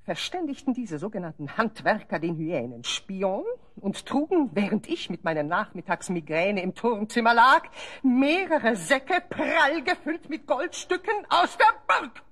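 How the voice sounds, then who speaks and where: A haughty lady ruler from a fantasy radio play. Her voice is roughened and swoops widely as she scolds and commands. She sounds theatrically indignant and used to being obeyed. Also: on the high side, sing-song, unhurried, a bit bright, exaggerated voice. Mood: scornful, outraged. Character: imperious, furious lady ruler of a misty forest fortress. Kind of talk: German audio play acting.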